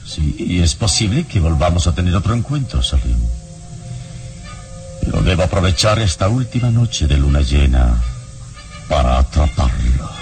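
A man playing a calm, heroic strongman who soothes a wounded beast, in measured, steady radio drama acting. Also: low voice, soft background music. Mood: resolute.

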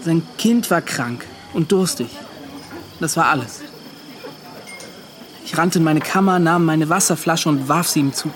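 An actor playing a tormented, defensive ship's officer, recounting in an agitated, pleading and halting way in a radio drama. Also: male voice, a little high, a little hiss or hum, close to an everyday voice. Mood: urgent recounting. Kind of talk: radio drama acting, confessional monologue.

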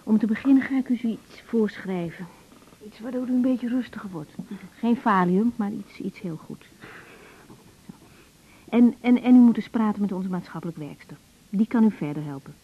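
An actress playing a caring, overworked young doctor in a naturalistic radio drama, talking gently with small hesitations. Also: female voice, medium pitch, normal speed, husky, natural voice. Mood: calm, concerned. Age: young adult. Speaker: general practitioner in a radio drama. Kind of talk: naturalistic 1980s Dutch radio drama acting. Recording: slightly dull 1980s radio recording.

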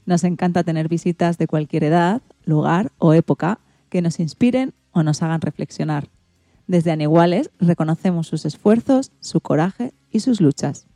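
Low-pitched mellow woman radio host from Spain. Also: moderate pace, no room sound, soft background music. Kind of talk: scripted radio presenting.

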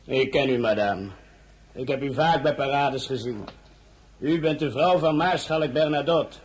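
An actor in a period radio drama playing a bitter, war-weary veteran soldier on guard duty. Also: male voice, medium pitch, a little slow, slightly rough. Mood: gruff, official. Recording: noisy radio drama recording.

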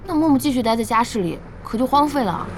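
High-pitched, excitable young woman. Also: quick pace, slight background noise. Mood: worried. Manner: expressive, chiding, exclamatory. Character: lively, bossy, excitable young woman gaming teammate. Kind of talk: audio drama acting.

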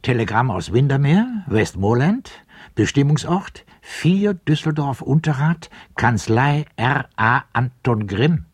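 An actor in a radio play, reading out a telegram in a formal, deliberate way. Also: male voice, medium pitch, lively intonation, breathy edge, dry recording.

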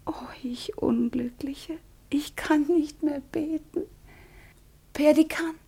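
A high female voice gives us a proud, devout young noblewoman torn by love. Her delivery is intense, breathy and declamatory, in the manner of theatrical radio drama. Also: steady tone, takes it slowly, mellow, heavily acted. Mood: bewildered, despairing. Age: young adult.

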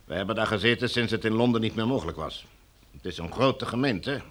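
A man plays a military sector commander in a radio drama, his voice on the low side. He speaks as heard in the Netherlands, formally and officiously, with a smooth, domineering menace. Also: fairly quick, a bit bright, close to an everyday voice. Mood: coolly matter-of-fact.

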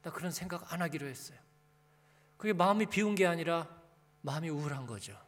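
A man's voice: on the high side, animated, brisk. A male pastor preaching a sermon in a conversational way, putting rhetorical questions and short prompts to his listeners. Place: large reverberant church hall. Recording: echoey hall with long reverb.

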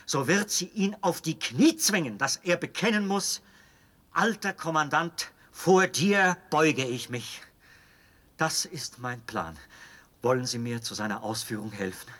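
An actor playing a fanatical officer in a radio play, speaking with an insistent, commanding fervour and a husky voice. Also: male voice, on the high side, a little slow, a bit bright. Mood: fervent, urging. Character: fanatical officer devoted to an old execution machine. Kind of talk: German radio play acting.

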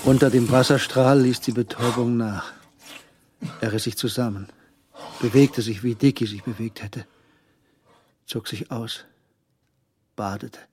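An actor narrating a tense crime story in a scripted radio drama. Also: male voice, mid-range voice, fairly even intonation, a little slow, pauses often, darkish tone, slight background noise, lightly acted. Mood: tense, measured.

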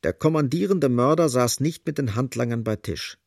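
A man's voice: medium pitch, normal speed, creaky, a little mellow, no echo, clean studio sound. A man narrating a literary radio drama in a grave, dramatic storytelling manner.

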